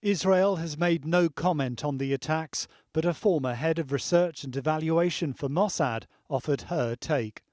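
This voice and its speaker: Male reporter for scripted news voice-over, pitch a little high. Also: normal speed, hardly any pauses, darkish tone, dry recording, clean studio sound.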